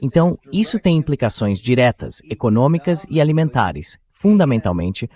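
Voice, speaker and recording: A man's voice: medium pitch, sing-song, moderate pace, dark tone. A man as the translated voice of an interview host, talking through political commentary in a conversational, wordy way.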